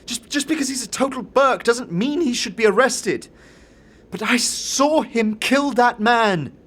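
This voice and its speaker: A man voicing a frightened young male witness, halting and pleading, in a fantasy audio drama. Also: very high voice, expressive, fairly bright tone, pitched above the performer's own voice, full character voice. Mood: panicked, defensive. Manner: halting, stammering, anxious.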